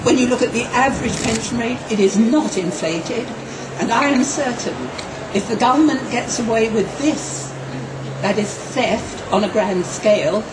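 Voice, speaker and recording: A woman, giving a slow, measured, impassioned speech at an outdoor protest rally. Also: a little high. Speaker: rally speaker. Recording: distant echoey rally recording with noise.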